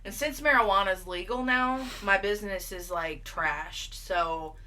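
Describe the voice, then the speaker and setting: Woman playing a bright-voiced earnest lovestruck eyewitness. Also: medium pitch, measured pace, a little hiss or hum. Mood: matter-of-fact, complaining. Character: earnest lovestruck former pot farmer woman telling Bigfoot romance. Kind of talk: mock news eyewitness testimony.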